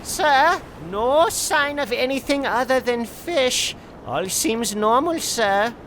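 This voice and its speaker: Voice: sits high, expressive, slow pace, fairly bright tone, a little hiss or hum, full character voice, rough recording. A performer voicing a deferential German naval subordinate reporting formally in an adventure drama. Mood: dutiful.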